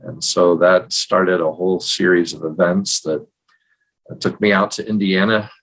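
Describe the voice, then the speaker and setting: A middle-aged or older man co-hosting a podcast, talking casually in a measured, reflective way. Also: low voice, fairly even intonation, fairly bright tone, slightly rough, low-quality recording. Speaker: podcast co-host. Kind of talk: casual conversational podcast talk, personal storytelling. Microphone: video call.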